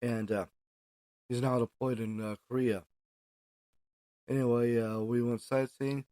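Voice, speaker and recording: A middle-aged man from Texas giving a casual solo podcast monologue in a halting, plain-spoken way, pausing mid-sentence. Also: medium pitch, flat delivery, mellow, no echo, studio quality. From Houston, Texas. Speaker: podcast host.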